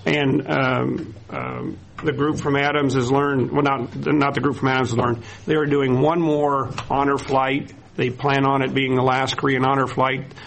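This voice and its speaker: A man's voice: medium pitch, little pitch movement, normal speed, creaky, a bit bright, a little hiss or hum, poor audio. A man from the county hosting an informal award ceremony, speaking loosely and with many fillers. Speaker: county representative, emcee. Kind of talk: ceremony remarks. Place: indoor community gathering.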